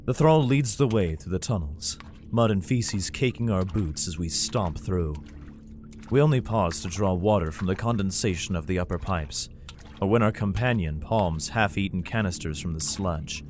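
A man's voice: deep voice, animated, normal speed, a little mellow, slight background noise. An actor playing a weary, protective father and guarded survivor, with tense, brooding narration in an audio drama. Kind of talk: audio drama acting with first-person narration.

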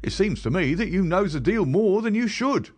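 A rough constable , put on by a man reading the book, talks loud and raised in pitch, full of grievance and rustic grammar. His voice swings a lot as he tells his tale, in every line. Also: lively intonation, normal speed. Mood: indignant. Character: rough working-class male London police constable, defensive. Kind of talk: audiobook character voice.

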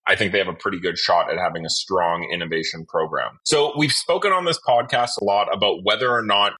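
A man hosting a podcast interview in a conversational, measured and thoughtful way. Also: sits low, sharp tone, breathy edge.

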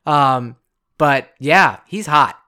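A podcast co-host in their thirties with a mid-pitched voice talks over a film. The talk is casual, playful and musing, and thoughts often trail off. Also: expressive, drawn-out words, bright, forceful tone. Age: 30s.

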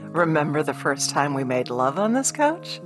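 Woman acting practical career woman and ex-wife, conversational, placating, expressive pitch, radio drama, light music. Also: moderate pace. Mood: nostalgic. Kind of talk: radio play dialogue.

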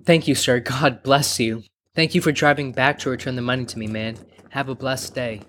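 A man plays a humble street seller in a children's story, winded and thankful. His mid-pitched voice comes with faint noise behind it. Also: steady tone, moderate pace, a bit bright, natural voice. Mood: grateful. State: out of breath. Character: grateful, humble street candy seller on crutches. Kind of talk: children's audio story dialogue.

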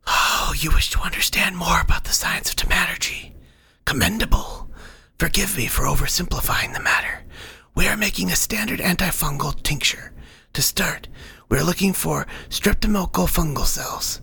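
Whispering, condescending scholarly mentor spirit. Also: male voice, high voice, moderate pace, sharp tone, pitched above the performer's own voice, full character voice. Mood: condescending lecturing. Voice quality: breathy whisper. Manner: pompous, verbose.

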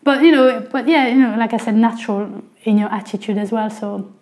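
A woman's voice: fairly high pitch, steady tone, fairly quick, darkish tone. French woman chatting with many fillers. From northern France. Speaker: guest, language coordinator. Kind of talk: casual podcast conversation.

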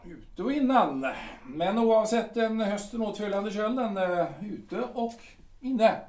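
A man playing a bitter, proud aging artillery captain in an audio drama, blustering and contemptuous, with drawn-out pompous phrasing. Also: high voice, lively intonation, a little mellow. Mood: wry, musing. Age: older. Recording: roomy, somewhat distant mic.

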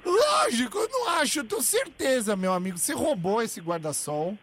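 A man's voice: extremely high pitch, sing-song, a little fast, fairly bright tone, breathy edge. A man, hosting a radio show, teasing and provoking a stranger in a prank call. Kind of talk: prank phone call and studio chat.

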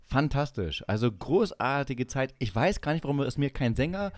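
A man's voice: mid-range voice, sing-song, moderate pace, no echo. A man around his forties co-hosting a casual podcast, rambling through memories with emphatic enthusiasm. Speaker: podcast co-host. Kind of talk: casual podcast chat with reminiscing.

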